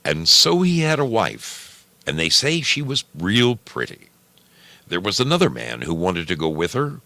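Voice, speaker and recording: A man's voice: fairly low pitch, big pitch swings, measured pace, sharp tone, no room sound, voice pushed up, exaggerated voice. A man voicing a plainspoken rustic witness who rambles through a gruesome folk legend as an oral history. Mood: eerie, earnest.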